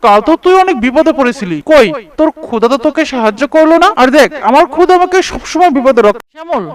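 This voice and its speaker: A cartoon village fisherman played by a man with an extremely high voice. He speaks emphatically, declaiming each line in dramatic dialogue. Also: lively intonation, fast. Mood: taunting, then earnest, pious. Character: two village fishermen, one pious and poor, one scornful.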